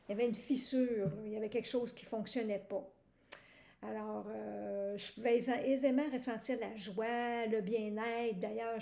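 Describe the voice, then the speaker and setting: A woman's voice: a little high, creaky, dark tone, roomy sound. A woman from Quebec with a Québécois accent, giving a hesitant personal testimonial with many fillers and restarts. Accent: Québécois French. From Quebec, Canada. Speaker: program participant giving a testimonial.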